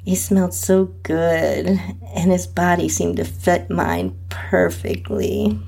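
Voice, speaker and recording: A woman with a husky, breathy voice reading a first-person erotic story as its narrator. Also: on the low side, very animated, slow pace, warm tone. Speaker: podcast host and narrator.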